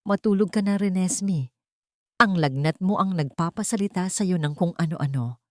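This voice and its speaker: A woman's voice: lowish voice, moderate pace, dry recording, clean studio sound, natural voice. A woman voicing a proud billionaire husband who hides tenderness and torment behind cold restraint in an audio drama. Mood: cold, restrained. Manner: cold, restrained, anguished undertone.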